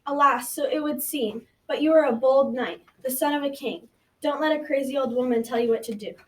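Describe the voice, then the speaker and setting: A woman's voice: sits high, brisk, close to an everyday voice. A young female student playing a sly, coaxing enchanted fruit tree in an amateur school radio drama. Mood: tempting. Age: child or teen. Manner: coaxing, taunting. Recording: distant from the mic.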